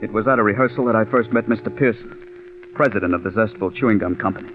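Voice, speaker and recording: An actor playing a bitter, stubborn, overworked scriptwriter in 1940s radio drama style, intense and brooding. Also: male voice, lowish voice, quick pace, faint music behind, close to an everyday voice. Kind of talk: 1940s radio acting with first-person narration. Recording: dull 1940s radio recording.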